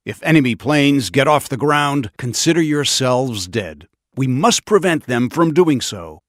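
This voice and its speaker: An actor playing a grave, resolute army officer in a war game, giving a scripted briefing in a deliberate, commanding way. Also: male voice, fairly high pitch, no echo, studio quality. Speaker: military commander.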